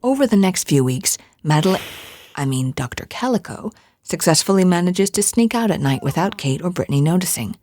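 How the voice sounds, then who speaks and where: A woman's voice: lowish voice, expressive, a little fast, darkish tone. A woman narrating an audio drama as a wry, descriptive storyteller.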